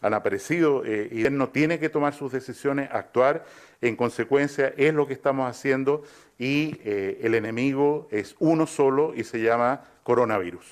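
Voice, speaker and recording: A man, a government official, answering a question at a press conference in a formal, halting way. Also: medium pitch.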